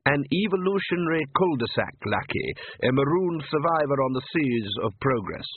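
A man's voice: fairly high pitch, lively intonation, moderate pace, slight background noise, voice pushed up. A male narrator voicing a conciliatory aristocratic vampire father who talks to his family in a parental, soothing way. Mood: placating, dry. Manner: parental, soothing, with repressed irritation. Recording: tinny phone-like band.

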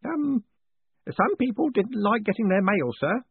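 A man's voice: high voice, animated, unhurried, breathy edge, no room sound, voice pushed up, heavily acted. A man voicing an old male postal worker who is deferential, hesitant and gossipy, saying sir at every turn. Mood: confiding. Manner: folksy, hesitant, says sir constantly. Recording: tinny phone-like sound, dry.

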